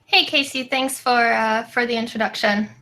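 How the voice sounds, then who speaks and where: A woman's voice: a little high, steady tone, normal speed, sharp tone. A woman in her twenties from Poland, telling her own story as a guest engineer over a video call from home, earnest, with many fillers. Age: 20s. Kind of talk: webinar talk, personal story.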